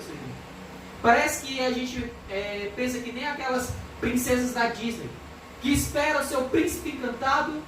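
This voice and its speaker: Woman preacher, Brazilian Portuguese accent, earnest and emphatic, high-pitched, heavy echo from far off. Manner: earnest, emphatic, reads scripture aloud. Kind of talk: expository sermon. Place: church hall. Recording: echoey church hall from far off, background noise.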